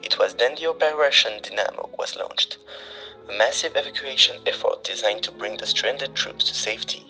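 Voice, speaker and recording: A man's voice: mid-range voice, moderate pace, bright, forceful tone, low-quality recording. A man delivers scripted documentary narration as a newsreel reader. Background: background music.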